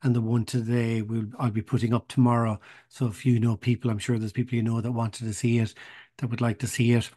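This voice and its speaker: Even, mellow man, close and dry. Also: mid-range voice, a little fast, no room sound. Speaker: webinar organiser. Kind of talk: informal closing announcements.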